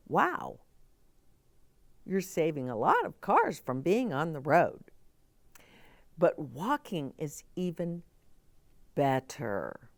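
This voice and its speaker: A woman's voice: mid-range voice, no room sound. A woman hosting a children's story time, speaking in simple, emphatic phrases as she addresses young listeners. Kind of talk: expressive read-aloud and chat addressed to young children.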